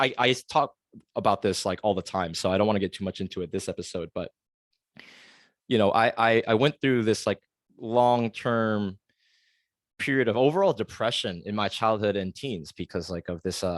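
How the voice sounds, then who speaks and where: A man's voice: fairly low pitch, dry recording. A man in his thirties from the United States, hosting a solo podcast and talking earnestly and confessionally, with lots of fillers and restarts. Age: 30s. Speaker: podcast host. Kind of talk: solo reflective podcast monologue.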